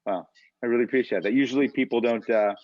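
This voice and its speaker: A man's voice: mid-range voice, steady tone, fast talker, no room sound. A man speaking as a guest on a video call, earnest and encouraging, with lots of fillers. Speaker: guest speaker, college instructor. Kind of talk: casual video-call talk. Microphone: computer mic on a video call. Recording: dry, dull lo-fi video call audio.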